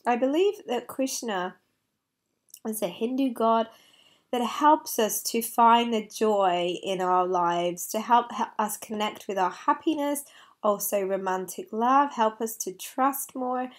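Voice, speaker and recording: A woman in her thirties talks gently from her sofa, laying out an angel card reading for the week. Her fairly high voice is a little croaky and her delivery is soft and sincere. She pauses often and fills the gaps with small fillers. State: slightly croaky voice. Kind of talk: weekly card reading to camera. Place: living room, on a sofa indoors.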